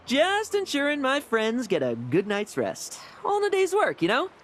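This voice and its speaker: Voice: sits high, wildly swooping pitch, moderate pace, long reverb tail, faint music behind. An actor voicing a cheerful, sly young schemer in a video game, teasing and mock-polite with a sweet menace. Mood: cheerfully sly. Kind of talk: scripted video game voice acting.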